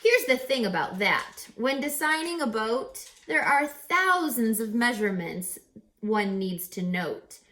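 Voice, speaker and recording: A woman reads a picture book aloud in the manner of a teacher. Her voice sits fairly high and swings widely in pitch, and she takes her time, stressing key words and pausing on questions to her young listeners. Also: very animated, bright, forceful tone. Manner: dramatic, emphatic, pauses for rhetorical questions to listeners. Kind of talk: expressive children's read-aloud with teacherly asides.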